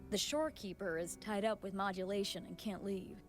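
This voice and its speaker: An actress plays a friendly, businesslike game colleague with an earnest, explanatory delivery. Her mid-pitched female voice sits over soft background music. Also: steady tone, a little fast, lightly acted. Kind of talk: video game voice acting.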